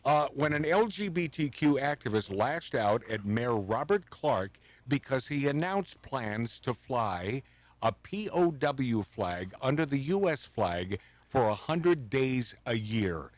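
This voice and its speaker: A man hosting talk radio in a lively, swooping voice that jumps up and down as he gets worked up. He mocks and swears freely in a combative way. The sound is dry and close, with little room around it. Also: mid-range voice, slow, no room sound. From Pacific Northwest of the US. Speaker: radio show host. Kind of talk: casual talk radio banter.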